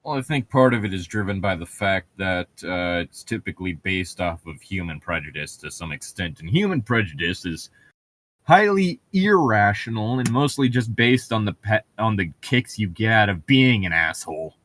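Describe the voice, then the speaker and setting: A man's voice: fairly low pitch, lively intonation, normal speed, fairly bright tone. A man co-hosting a read-along, moving between wry, opinionated casual banter and reading a novel aloud, with some swearing. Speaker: co-host of a book read-along show.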